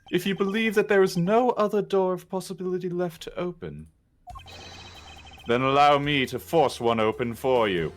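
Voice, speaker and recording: A man's voice: high voice, normal speed, slight background noise, close to an everyday voice. A man voicing a composed, determined prosecutor in a video game fan dub, speaking formally and dramatically. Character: composed, haughty male prosecutor, sharp and determined.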